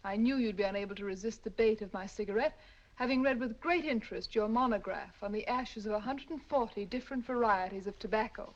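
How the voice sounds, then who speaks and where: A gracious but cold villainess, voiced by a woman, all silky, polished politeness that hides her cunning. Her pitch sits at a middling height. The sound is dull and muffled, like an old radio broadcast. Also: fast, lightly acted. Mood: scheming. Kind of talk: 1940s radio acting.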